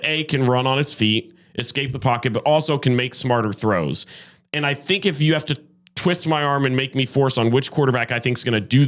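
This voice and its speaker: Male sports podcast host, mid-pitched voice, opinionated and emphatic, conversational analysis backed by numbers. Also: fairly bright tone. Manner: opinionated, emphatic, cites statistics. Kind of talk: casual sports debate and analysis.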